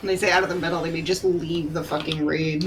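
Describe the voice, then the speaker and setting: A woman's voice: low voice, level tone, quick pace, recorded from a distance, background noise. A woman chatting casually as a fellow player, blunt and prone to swearing. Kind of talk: casual gaming chat. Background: game music.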